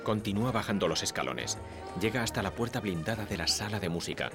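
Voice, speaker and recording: A man calmly narrates the on-screen action in a plain, matter-of-fact voice. His voice sits very low. A loud film score plays behind him. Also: fast talker, over music. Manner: calm, even and matter-of-fact. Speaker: audio description narrator. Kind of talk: scripted narration.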